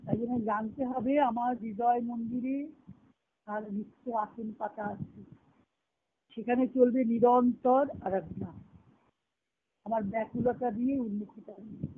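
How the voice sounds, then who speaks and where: A woman's voice: fairly high pitch, fairly even intonation, unhurried, pauses often, slight background noise. The voice comes thin and boxed-in over a phone conference line. A woman speaks as a spiritual teacher giving a devotional discourse. She explains in a reflective way, returning to key phrases and repeating them. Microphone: phone conference call. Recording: tinny narrowband conference-call phone line.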